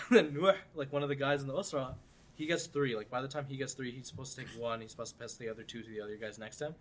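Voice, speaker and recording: A man's voice: medium pitch, rapid-fire. A seventeen-year-old man hosting a casual podcast chat, rambling with lots of fillers. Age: teens. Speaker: podcast host.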